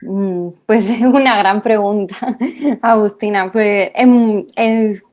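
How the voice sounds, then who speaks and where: A woman's voice: medium pitch, steady tone, slow pace, darkish tone. A woman in her thirties from Spain with an Andalusian accent, answering interview questions in a thoughtful way, with frequent small restarts and tag questions. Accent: Andalusian Spanish. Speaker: writer being interviewed.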